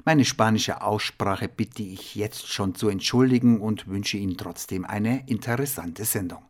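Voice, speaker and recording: Low-voiced man hosting a radio program. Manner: calm, measured reading of a script. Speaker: radio host. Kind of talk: scripted radio presentation.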